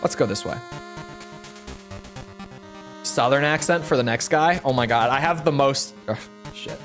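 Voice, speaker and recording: A man giving playful let's play commentary, chatty and quick to laugh. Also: mid-range voice, music in the background. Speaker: video game streamer.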